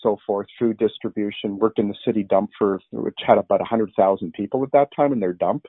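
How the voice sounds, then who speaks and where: A man's voice: fairly low pitch, steady tone, brisk, husky, dry recording. A middle-aged man giving a conversational testimony as an interview guest, earnest and rambling with restarts. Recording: narrow phone-line sound.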